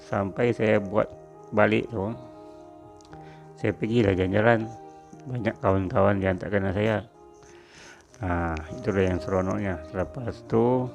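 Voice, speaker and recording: A middle-aged Sabahan man recalls his working years in a deep, low voice. His tone is gravelly with noticeable fry, and he talks in an unhurried way, full of small fillers. Faint music plays underneath. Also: darkish tone. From Sabah, Malaysia. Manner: reflective, unhurried, with frequent fillers. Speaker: former factory worker telling his story. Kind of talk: personal storytelling.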